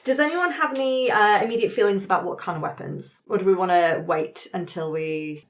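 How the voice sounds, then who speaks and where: A woman's voice: medium pitch, fairly quick. Woman facilitating a tabletop game. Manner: conversational, checks in with the group. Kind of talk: explaining tabletop game rules to players.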